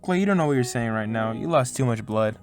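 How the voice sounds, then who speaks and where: A level-headed, caring battle teammate played by a man speaks in a plain, middle-pitched voice. The style is sober audio drama acting with faint music underneath. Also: lively intonation, quick pace, lightly acted. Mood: concerned.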